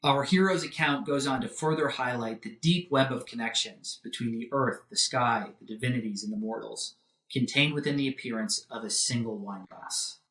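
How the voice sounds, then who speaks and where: A man giving an academic philosophy lecture in a measured, formal manner with emphatic lists. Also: medium pitch, a bit bright. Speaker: professor lecturing. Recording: roomy echo, distant microphone.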